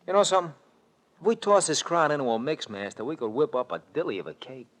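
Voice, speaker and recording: An actor playing a slangy, skeptical, excitable sidekick in an old radio mystery. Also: male voice, fairly high pitch, fast talker. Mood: wry. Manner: colloquial, wisecracking. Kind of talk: 1940s-50s radio mystery acting. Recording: faintly noisy vintage radio recording.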